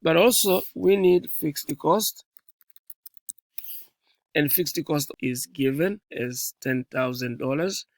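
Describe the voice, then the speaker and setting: A man's voice: on the high side, slow pace, long pauses, no echo. A man instructing in a spreadsheet tutorial, explaining things step by step into a close mic.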